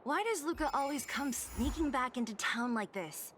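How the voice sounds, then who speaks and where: A woman's voice: sits high, moderate pace. A woman voicing a blunt, restless young woman in a game, talking in a weary, grumbling way. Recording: game audio with steady background noise.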